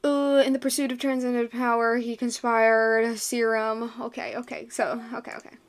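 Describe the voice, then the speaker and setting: High-voiced woman streaming a game. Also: normal speed, soft background music. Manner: animated, slangy, repeats words. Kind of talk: casual reaction commentary on a game playthrough.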